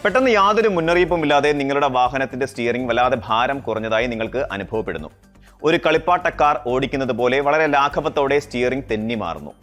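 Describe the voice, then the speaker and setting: A man's voice: mid-range voice, animated, fast, a bit bright. A man reading a scripted explainer narration in a dramatic storytelling style. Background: background music.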